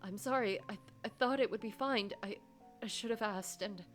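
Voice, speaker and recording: A woman voicing a gentle, apologetic divorced man in a story game, concerned and prone to stammering. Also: medium pitch, moderate pace, faint music behind, close to an everyday voice. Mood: flustered apology.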